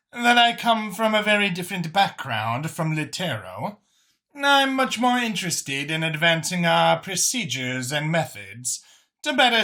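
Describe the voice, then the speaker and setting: An imperious alien councilwoman from a science fiction audiobook, acted out by a man, pitched high and swinging widely in tone. She is confident and formal, leaning deliberately on her words with a bright edge. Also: full character voice. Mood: formal, assured. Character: imperious female alien official, confident, formal, free-thinking council chair.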